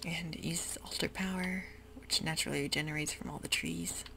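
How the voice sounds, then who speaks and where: A woman whispering softly through a relaxed, rambling ASMR game commentary. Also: low voice, fairly quick, vocal fry. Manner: soft whisper, rambling and easygoing. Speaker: YouTuber playing a game. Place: home room with sprinklers outside.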